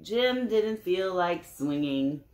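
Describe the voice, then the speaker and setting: A woman storyteller reading a picture book aloud to children, conversational and expressive, with asides to young listeners. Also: on the low side, slow.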